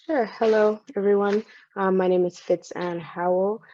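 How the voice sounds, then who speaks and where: A woman's voice: medium pitch, steady tone, normal speed, mellow, a little hiss or hum. A woman patient advocate introducing herself on a video call, laughing and self-deprecating. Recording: dull, dry video call audio.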